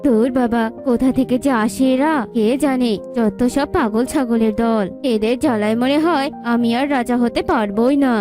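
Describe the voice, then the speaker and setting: A woman's voice: fairly high pitch, normal speed, hardly any pauses, over music, voice pushed down, close to an everyday voice. A woman voicing an arrogant, grumbling male crow in a children's cartoon, with a scornful, boastful manner. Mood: irritated, scornful.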